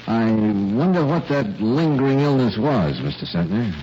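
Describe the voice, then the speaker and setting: Low-voiced, wry private eye. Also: male voice, big pitch swings, measured pace, warm tone. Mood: wry, probing. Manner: wry, direct, questioning. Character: cool, probing male private eye. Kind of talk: 1940s radio detective acting. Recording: noisy, muffled vintage radio recording.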